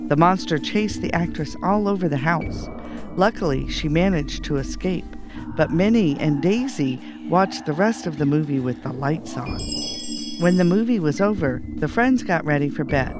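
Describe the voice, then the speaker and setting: A man reading a children's bedtime story aloud in an animated storytelling style. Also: on the high side, normal speed, music in the background. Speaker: storybook reader.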